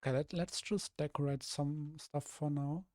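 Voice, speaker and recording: A young man from Germany, a streamer with a rough, slightly hoarse voice, thinking aloud hesitantly with many restarts while he builds in a game. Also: fairly high pitch, steady tone, normal speed, a little mellow, dry recording, studio quality. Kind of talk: casual live-stream commentary while building.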